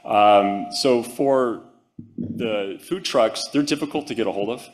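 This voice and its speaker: A man's voice: medium pitch, measured pace, slight background noise. A man giving a conference talk and answering audience questions, casual with many "um"s and "like"s. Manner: casual, many fillers and restarts. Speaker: conference presenter. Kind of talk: presentation and Q&A answers.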